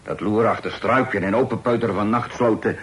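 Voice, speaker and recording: Guy acting as a deep-voiced outlaw sailor, stubborn and proud, old radio play sound. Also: fast, close to an everyday voice. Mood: defiant. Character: gruff male sailor turned outlaw, proud. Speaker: actor in radio drama.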